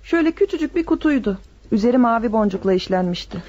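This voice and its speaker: A woman's voice: fairly high pitch, expressive, quick pace, warm tone. An actress in a radio drama playing a kind, dutiful neighbour woman who is respectful and reassuring. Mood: earnest. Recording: dull lo-fi radio recording, music behind.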